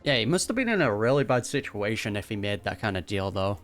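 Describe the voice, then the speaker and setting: A man's voice: lowish voice, sing-song, fast talker, fairly bright tone, soft background music. Laid-back man commentating over a game. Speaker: gaming reaction streamer. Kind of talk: casual playthrough commentary.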